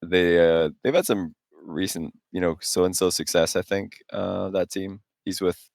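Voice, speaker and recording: A man in his late twenties with a deep voice co-hosts a gaming podcast. He talks in a casual, conversational way. The sound is dry, with no room around it. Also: steady tone, a little slow, gravelly, dry recording. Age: late 20s. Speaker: podcast co-host.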